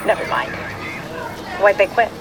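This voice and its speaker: A woman's voice: medium pitch, heavily acted. Earnest polite bus operator lady, kinda pedantic, audio drama, street noise behind her. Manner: polite, earnest, literal-minded. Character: mild, well-meaning, pedantic woman bus operator. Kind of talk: audio drama phone conversation. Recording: noisy outdoor street and vehicle ambience.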